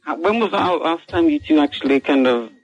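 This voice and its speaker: A man's voice: on the high side, fairly quick. A man phoning in to a radio show, chatting casually and asking questions. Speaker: radio phone-in caller. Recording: dull lo-fi radio call.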